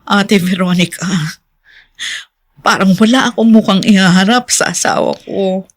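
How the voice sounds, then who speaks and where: A woman's voice: mid-range voice, fairly even intonation, moderate pace, natural voice. A woman playing a distraught, ashamed younger sister and wife in a Filipino radio drama, with emotional exclamations. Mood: ashamed, hesitant.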